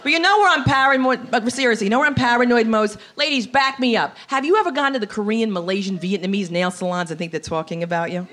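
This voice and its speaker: A middle-aged American woman doing stand-up into a handheld mic on a club stage, sassy, animated and full of slang. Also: on the high side, fast talker, few pauses. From Paterson, New Jersey, United States. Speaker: stand-up comedian. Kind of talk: stand-up comedy. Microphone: handheld stage microphone.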